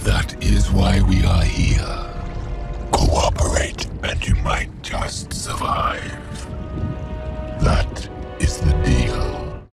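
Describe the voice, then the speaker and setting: A monstrous alien symbiote from a film, growls in an extremely low, guttural, gravelly voice. It speaks very slowly, with commanding, predatory menace, over music. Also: male voice, big pitch swings, heavily acted. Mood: cold menace.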